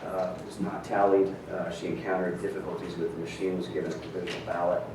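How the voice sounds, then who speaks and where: A man giving a formal report at a township council meeting, halting and full of fillers. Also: low-pitched, completely flat delivery. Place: council chamber. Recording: echoey distant room mic with hiss.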